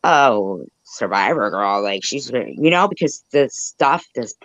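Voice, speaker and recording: A woman chatting casually as a panelist in a halting, rambling way. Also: extremely low pitch, animated, crowd noise. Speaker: live chat panelist. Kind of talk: casual chat. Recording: dull lo-fi call audio.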